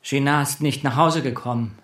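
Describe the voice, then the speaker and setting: A man acting in a German radio play as an anxious, withdrawn bookseller, speaking in a subdued, hesitant, terse way with a husky voice. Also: medium pitch, fairly even intonation, moderate pace, fairly bright tone, lightly acted. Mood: guarded, subdued. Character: anxious, withdrawn male bookseller whose wife has gone missing.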